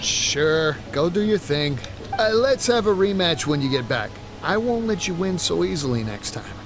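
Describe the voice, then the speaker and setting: Young male rival, video game: high, swooping, friendly yet huffy. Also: big pitch swings, moderate pace, over music. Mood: competitive. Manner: protesting, earnest.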